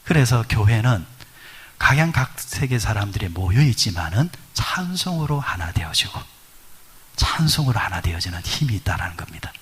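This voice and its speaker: A man's voice: on the low side, sing-song, normal speed, a bit bright, husky. A middle-aged man preaching a sermon at a midweek prayer service. He talks conversationally, asks the congregation questions, and uses many fillers. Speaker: pastor.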